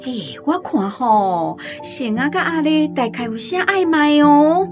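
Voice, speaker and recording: A woman's voice: high-pitched, lively intonation, takes it slowly, music in the background. A woman with a Taiwanese accent playing a nosy, insinuating village gossip in a radio drama. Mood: gossipy.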